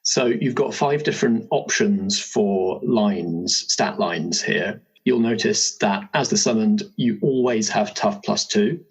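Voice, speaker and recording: A man's voice: lowish voice, takes it slowly, hardly any pauses, breathy edge, low-quality recording. A man hosting a roleplaying podcast, casually explaining game rules and ideas. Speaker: host, game compère.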